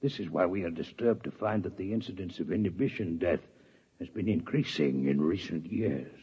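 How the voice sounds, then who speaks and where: A man playing a calm, formal male alien doctor from another planet in a 1950s radio drama, speaking with precise, unhurried diction. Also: low voice, husky. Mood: measured. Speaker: visiting alien scientist. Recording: dull lo-fi 1950s radio broadcast.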